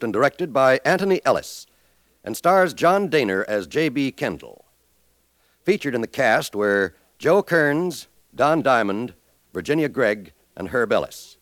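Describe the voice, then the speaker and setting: A man's voice: medium pitch, no room sound. An American man announcing in the scripted style of 1950s network radio. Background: music with brass.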